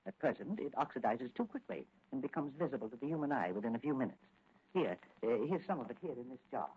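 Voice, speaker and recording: A kindly older apothecary and inventor from a 1940s radio serial. He explains quickly and earnestly in a husky voice. The sound is thin and tinny, like a phone. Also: medium pitch, very animated. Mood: earnest explaining. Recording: tinny, narrow-band 1940s radio recording.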